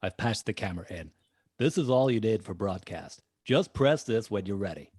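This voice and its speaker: Casting: man as calm, practical sidekick, low voice, dry close recording, amateur table read. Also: normal speed, breathy edge, dry recording. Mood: businesslike.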